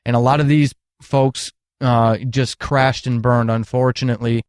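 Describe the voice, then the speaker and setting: A man's voice: medium pitch, fairly even intonation, moderate pace, clean tone, no room sound, studio quality. A middle-aged man telling candid, earnest stories at length as an interview guest, with emphatic phrasing. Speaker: podcast guest, retired military counselor.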